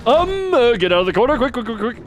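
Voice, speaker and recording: A man's voice: extremely high pitch, sing-song, fast, faint music behind. A man giving casual live gameplay commentary, rambling playfully and breaking into exclamations and silly sound effects. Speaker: live streamer.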